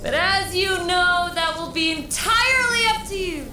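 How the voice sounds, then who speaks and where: A woman's voice: extremely high pitch, normal speed, bright, forceful tone, soft background music. A woman playing a cheerfully sadistic torture droid with mock politeness in a sci-fi audio drama. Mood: gleeful welcome. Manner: gleeful, sing-song mock politeness. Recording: roomy, distant, clearly noisy ambience.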